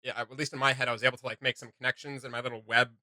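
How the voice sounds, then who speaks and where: A man's voice: mid-range voice, flat delivery, racing, pushed and bright, no echo. A man hosting a reaction video, chatting in an informal, rambling way. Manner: rambling, giggly, informal, many "like" and "you know". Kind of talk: casual reaction chat.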